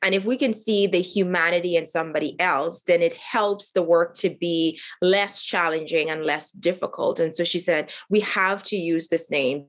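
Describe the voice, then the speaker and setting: A middle-aged woman from Haiti tells her story as an interview guest. Her voice sits a little low, and she speaks earnestly and reflectively, often pausing on fillers and restarting her sentences. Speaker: guest, nonprofit cofounder.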